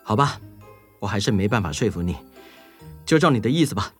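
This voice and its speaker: 一位男性在儿童动物故事里扮演严肃、不太高兴的新郎，嗓音沙哑，语气勉强。. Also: male voice, lowish voice, animated, fairly quick, natural voice. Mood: grudging. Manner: displeased, grudging. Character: grumpy, solemn bridegroom in animal fable. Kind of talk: children's audio story acting. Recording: music behind the voice.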